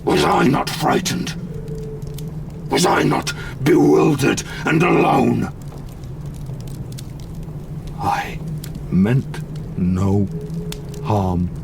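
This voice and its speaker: A man playing a lonely, wounded, eloquent monster in an audio drama, speaking slowly and solemnly. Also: mid-range voice, creaky, background noise, exaggerated voice. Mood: bitter, wounded. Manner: slow, deliberate, solemn storytelling.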